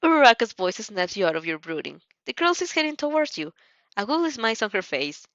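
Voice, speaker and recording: Casting: female audio-fanfic narrator, playful, expressive pitch, informal with slips, slightly muffled and dry sound. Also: brisk, no room sound. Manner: playful, stumbles and giggles at slips. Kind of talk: fan fiction read aloud, dramatized narration.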